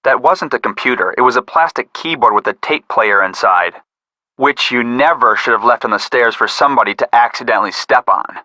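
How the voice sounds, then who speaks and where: A man's voice: medium pitch, brisk, pushed and bright, no echo, low-quality recording. A man playing a sensible, cautious young detective in a video game, with a level-headed, precise delivery. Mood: cautious, dry. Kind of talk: scripted game voice acting.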